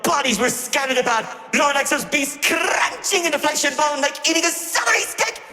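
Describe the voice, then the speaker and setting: An actor voicing a vain, flamboyant cartoon showman villain who is grandiose, melodramatic and gleefully cruel, often swinging into shouts. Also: sits high, moderate pace. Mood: dramatic, gloating. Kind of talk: theatrical cartoon villain monologue. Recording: echoey hall reverb under clear music.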